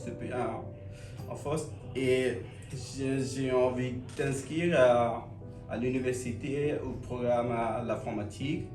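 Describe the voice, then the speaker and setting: A man from Bangladesh speaks slow, careful learner French with many fillers. He sits back from the mic in an echoey room, with music playing behind him. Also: medium pitch, darkish tone, recorded from a distance. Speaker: language student.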